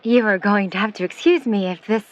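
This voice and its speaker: A woman playing a protective, skeptical middle-aged mother in a 1950s New York audio drama, speaking in formal, measured, wordy sentences. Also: mid-range voice, lightly acted. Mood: guarded, probing. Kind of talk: vintage-set audio drama acting.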